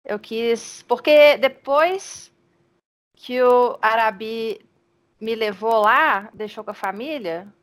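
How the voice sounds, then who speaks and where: A woman's voice: fairly high pitch, takes it slowly. Hesitant woman player. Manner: hesitant, thinking aloud, laughs often. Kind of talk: casual tabletop play.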